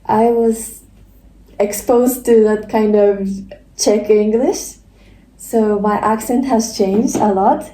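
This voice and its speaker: Slow mellow Japanese woman. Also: medium pitch, fairly even intonation, far from the mic, a little hiss or hum. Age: 20s. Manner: unhurried, soft, trailing off. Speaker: podcast co-host. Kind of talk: casual chat. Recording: echoey room, faint ambience.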